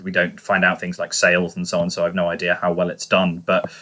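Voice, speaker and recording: A man's voice: low voice, monotone, fast talker, pushed and bright. A man co-hosting a podcast in casual discussion, speaking in a hesitant, speculative way full of hedging. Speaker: podcast co-host.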